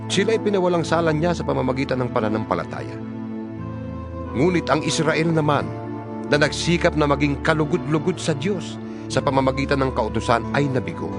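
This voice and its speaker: Man, scripture narrator, mid-pitched, lively intonation, earnest rhetorical delivery, music behind. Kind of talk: dramatized Bible reading.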